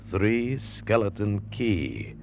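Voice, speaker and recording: A man's voice: fairly low pitch, lively intonation, extremely slow, slight background noise. A man announcing a vintage radio drama in a grave, dramatic manner. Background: dramatic music.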